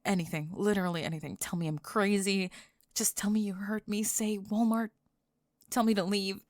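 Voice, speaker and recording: A woman's voice: medium pitch, steady tone, normal speed, no room sound, clean studio sound, natural voice. A woman playing a flustered young goth girl with a secret crush, rambling and stammering breathlessly in an ASMR roleplay. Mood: panicked rambling. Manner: rambling, stammering, breathless panicked over-explaining.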